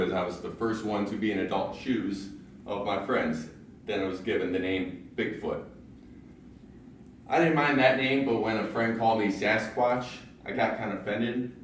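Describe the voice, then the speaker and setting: Deep-voiced man in an echoey room. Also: recorded from a distance, slight background noise. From Ohio, USA. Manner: self-deprecating humor, storytelling with punchlines. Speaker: speaker at a public speaking club. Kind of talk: prepared personal speech. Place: large meeting room in a library. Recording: very echoey room, recorded from afar.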